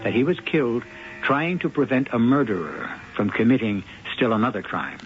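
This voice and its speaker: A man narrating a radio mystery in a grave, measured voice of ordinary pitch. The broadcast is dull and muffled, with music clearly under him. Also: lively intonation. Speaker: narrator host.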